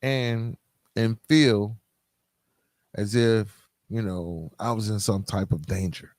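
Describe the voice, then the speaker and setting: A man's voice: on the low side, fairly even intonation, slow pace, a little mellow, no echo, clean studio sound. A man hosting a podcast, speaking candidly and emphatically straight to listeners in colloquial, streetwise phrasing, like a motivational monologue. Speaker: podcast host.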